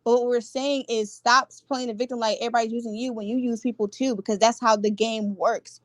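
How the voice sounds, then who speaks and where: Higher pitched woman giving opinions on a gossip chat, stutters a bit, slangy and emphatic, dry sound. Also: fairly even intonation, fairly quick, no room sound. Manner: emphatic, slangy, punctuates points firmly. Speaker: gossip show panelist.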